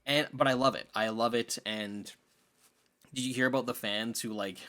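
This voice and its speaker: A man's voice: mid-range voice, fairly even intonation, normal speed, pushed and bright. A young man co-hosting a podcast, talking casually and emphatically in slangy, opinionated bursts. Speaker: podcast co-host. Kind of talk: casual film discussion chat.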